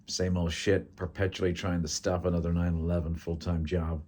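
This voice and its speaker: A man's voice: low-pitched, level tone, moderate pace, a little mellow. A man with an American accent voicing a guarded, weary intelligence man talking to friends in a story. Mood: weary, irritated.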